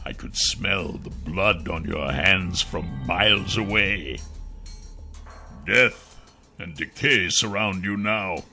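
Man voicing a throaty, slow cursed beast king. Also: deep voice, pushed and bright, soft background music, heavily acted. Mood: dark, ominous. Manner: halting, forced, ominous words. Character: cursed elven king turned great savage beast, grave, pained. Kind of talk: fantasy audiobook character.

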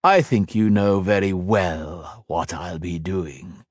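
A man's voice: low-pitched, slow pace, dry recording, studio quality. A male narrator voicing a smug, cruel emperor villain who mocks and taunts his captive in a grandiose way. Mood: gloating.

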